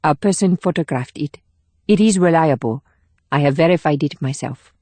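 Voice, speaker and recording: A woman playing a cool, controlled female assassin with a formal, measured delivery in an audiobook drama. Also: low-pitched, a little mellow, no echo. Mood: assured, resolute. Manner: measured, formal, clipped short statements. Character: cool, controlled, steely female North Korean assassin leading a mission.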